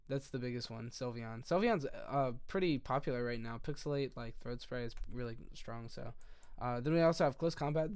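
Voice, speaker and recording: A young man with a hoarse voice that keeps giving out, casually walking through a game strategy in a rambling, self-correcting way. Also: medium pitch, no room sound, studio quality. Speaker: video creator.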